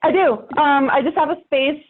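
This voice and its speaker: A woman's voice: high-pitched, steady tone, moderate pace. A woman talking casually and emphatically as a podcast guest, in colloquial conversational style. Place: home workspace studio with sound panels. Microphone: microphone with preamp, over online call. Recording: thin phone-like online call audio.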